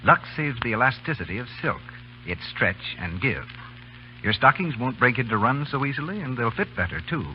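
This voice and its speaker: A man's voice: medium pitch, lively intonation, a little fast. A man reading a scripted commercial as a polished, persuasive announcer in old-time radio style. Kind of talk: scripted 1930s-40s radio advertising. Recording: muffled old radio recording, faint hiss.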